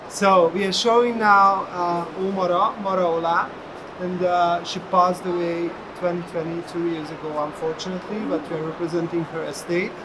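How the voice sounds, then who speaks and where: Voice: mid-range voice, little pitch movement, moderate pace. Gallerist, noisy hall. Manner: storytelling, explanatory. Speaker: gallerist presenting an artist's work. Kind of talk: informal spoken explanation at an art fair. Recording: noisy fair hall background. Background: ambient fair hall noise.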